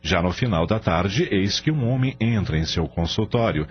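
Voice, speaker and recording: A man narrating a scripted radio drama as an expressive storyteller. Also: low voice, moderate pace, husky. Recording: dull lo-fi audio, faint music.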